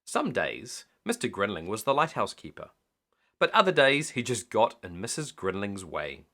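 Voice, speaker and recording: A man's voice: fairly low pitch, huge pitch swings, moderate pace, bright, forceful tone. A man reading a children's bedtime story aloud expressively, as a storyteller.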